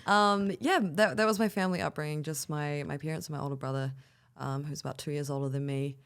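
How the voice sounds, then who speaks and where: A young woman from New Zealand with a New Zealand accent, chatting as a guest musician in a relaxed way, with frequent ums and easy laughs. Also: low-pitched, fast, smooth. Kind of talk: casual interview chat.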